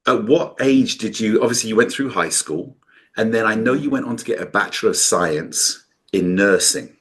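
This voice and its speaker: A man's voice: lowish voice, expressive, moderate pace. Upbeat man hosting a podcast. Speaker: podcast host. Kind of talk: interview podcast.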